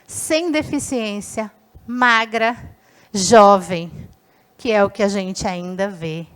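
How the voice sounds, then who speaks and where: A woman's voice: on the high side, clear, resonant tone. A woman giving a short talk and then moderating a panel, speaking emphatically. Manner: emphatic, lively.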